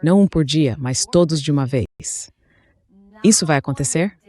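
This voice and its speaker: A man's voice: on the high side, moderate pace, dark tone. A man voicing a translated dub of political talk, reading questions and commentary as the host.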